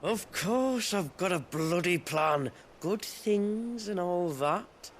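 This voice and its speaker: An actor playing a cocky, glib rogue posing as a merchant in a game cutscene, speaking in a sardonic, smooth-talking manner. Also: male voice, sits high, animated, slow, a little hiss or hum, full character voice. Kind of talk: acted game cutscene dialogue.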